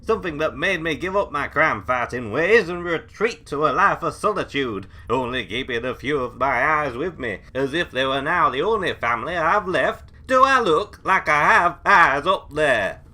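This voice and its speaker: A man's voice: fairly high pitch, lively intonation, moderate pace, hardly any pauses, bright, forceful tone, heavily acted. A British man acting an eccentric, paranoid hermit who lives in a bunker in a comedy audio drama, theatrical and blustering as he rambles. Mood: haunted, dramatic.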